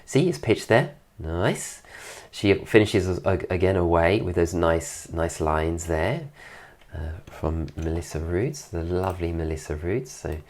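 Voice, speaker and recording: A man recovering from an illness, his voice deeper than usual and his nose congested, giving an admiring, relaxed commentary over a dance video. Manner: admiring, keeps praising details. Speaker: dance teacher reviewing a dance video.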